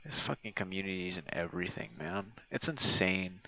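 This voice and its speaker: A man's voice: low-pitched, animated, normal speed, vocal fry, pushed and bright, slight background noise, poor audio. A man giving a casual, rambling monologue like a voice message to a friend, hesitant and meandering, with easy swearing. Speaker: online audio creator and game streamer. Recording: outdoor night sounds with crickets. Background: crickets and insect night sounds.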